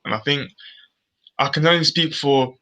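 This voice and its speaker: A man's voice: fairly high pitch, normal speed, bright, forceful tone. A British man giving personal views in a casual discussion, tentative and often repeating his words as he works out what to say. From Britain. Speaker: guest on a live online discussion.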